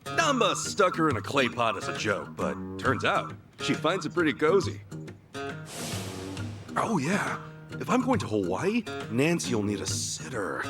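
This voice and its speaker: A good-natured, brash game hero, speaking at an unhurried pace with wildly swinging intonation. He is casual and blunt, a goofy everyman of the streets, with music playing behind him. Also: mid-range voice, wildly swooping pitch. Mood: musing, fond. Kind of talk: video game cutscene dub acting.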